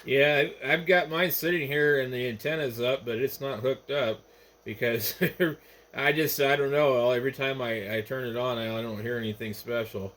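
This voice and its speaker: A man's voice: mid-range voice, fairly even intonation, moderate pace, a bit bright. An American man, an amateur radio operator, chatting casually over the radio. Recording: noisy ham radio transmission, static behind.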